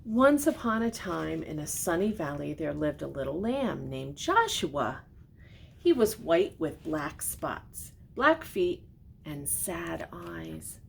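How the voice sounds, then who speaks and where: An older woman reading a children's storybook aloud expressively, pausing for gentle, simply worded explanations. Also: sing-song, creaky. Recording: noticeable background noise with low rumble.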